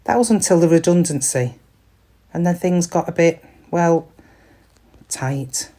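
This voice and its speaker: An actress playing a middle-aged British shop worker in a confiding, wry, conversational monologue, kind but quietly weary. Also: female voice, lowish voice, measured pace, natural voice. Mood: pensive, confiding. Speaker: actor in a dramatic monologue.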